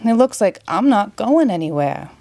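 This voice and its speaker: Storybook alien played by a woman: little, cute, timid and earnest, Boston accent, mid-pitched, dry sound. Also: sing-song, moderate pace, creaky, dark tone, no room sound. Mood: dismayed.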